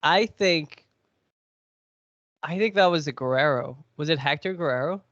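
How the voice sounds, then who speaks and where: A man's voice: a little high, moderate pace, a bit bright, dry recording, highs cut off. A man with a cold, sniffly, chatting and joking as he hosts a podcast quiz. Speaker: podcast co-host. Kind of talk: casual podcast chat.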